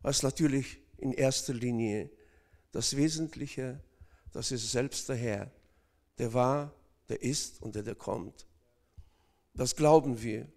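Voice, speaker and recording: A man's voice: mid-range voice, slow pace, pauses often, darkish tone. A man from Northern Kazakhstan preaching a sermon, speaking hesitantly with many fillers and addressing the congregation directly.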